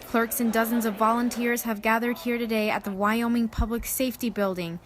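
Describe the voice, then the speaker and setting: Higher-voiced American woman reporting news over noise. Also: flat delivery, brisk. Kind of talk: scripted news report narration.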